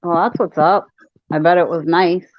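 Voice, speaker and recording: A woman's voice: fairly low pitch, steady tone, moderate pace. A woman hosting a casual video-call stream, laughing often and sounding sniffly as she gets over an illness. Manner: laughs often, says "y'all" and "hella". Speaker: stream host. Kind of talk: casual chat. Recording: muffled lo-fi call audio.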